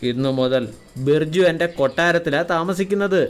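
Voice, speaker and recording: A man's voice: fairly high pitch, normal speed, smooth, lightly acted. A man playing a wealthy, authoritative but fair-minded landlord in a cartoon moral story. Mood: commanding.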